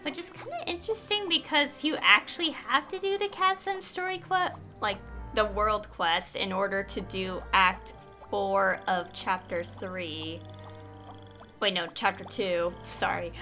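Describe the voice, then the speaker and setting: A bright-voiced woman streams a game, talking slowly and casually. She rambles and hesitates while thinking aloud, with some game music playing behind her. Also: mid-range voice. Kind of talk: casual live game commentary.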